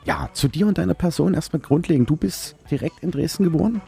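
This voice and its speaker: A man hosting a casual interview, asking relaxed questions and dropping brief affirmations. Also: a little high, fast talker, vocal fry, husky, faint music behind. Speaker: interviewer, show host.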